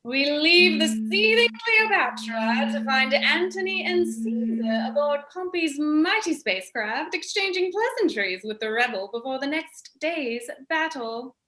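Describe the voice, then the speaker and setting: A woman narrates in the dramatic style of an old-time radio serial. Her voice is highish and forceful, with an echoey room sound. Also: animated, measured pace, few pauses.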